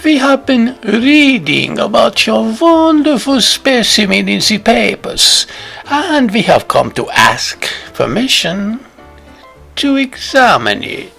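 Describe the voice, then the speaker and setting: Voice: medium pitch, big pitch swings, slow, vocal fry, fairly bright tone, soft background music, full character voice. A performer playing a grandiose, formal scientist who speaks persuasively and theatrically in an old-time radio comedy. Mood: insistent.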